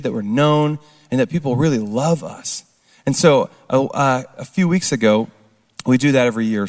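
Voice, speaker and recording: Hoarse man talking in a reverberant hall. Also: a little high. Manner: casual, engages the crowd with questions. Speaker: speaker at a recovery ministry service. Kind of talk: conversational testimony talk. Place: large church hall with an audience.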